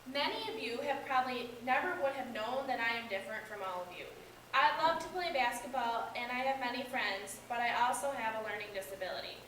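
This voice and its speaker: A woman's voice: fairly high pitch, brisk, fairly bright tone, natural voice. A young American woman playing a shy, embarrassed schoolboy in amateur reader's theater, reading scripted lines carefully. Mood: confiding. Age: young adult. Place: echoey indoor room. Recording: echoey room, distant microphone, background hiss.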